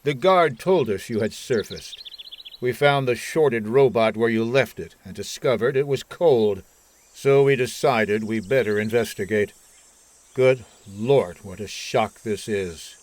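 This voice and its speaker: A man's voice: mid-range voice, unhurried, a little mellow, natural voice. A man playing a belligerent army colonel in a science fiction radio drama, authoritative and used to giving clipped orders. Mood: commanding. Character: hawkish army colonel, commanding, suspicious and belligerent. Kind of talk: old-time radio science fiction acting.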